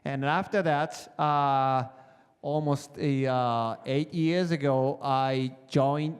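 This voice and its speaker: Halting Japanese-accented man in a hall. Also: medium pitch, steady tone, clean tone. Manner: halting, many "uh" fillers, non-native phrasing. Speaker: planetary geologist presenting on a panel. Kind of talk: short conference presentation. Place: conference hall.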